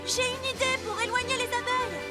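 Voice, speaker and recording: A woman's voice: very high voice, brisk, recorded from a distance, over loud music. A woman voicing a caring, resourceful female rescue vehicle in a French children's cartoon, earnest and encouraging. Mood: eager, resourceful.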